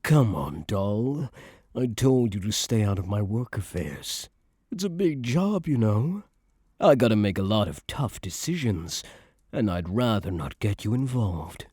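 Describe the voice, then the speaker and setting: A man playing a cocky young mafia heir who is a doting but menacing boyfriend, in an intimate ASMR roleplay with slow, smug tough-guy slang. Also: lowish voice, sing-song, darkish tone, breathy edge, no echo, studio quality, heavily acted. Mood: teasing, affectionate.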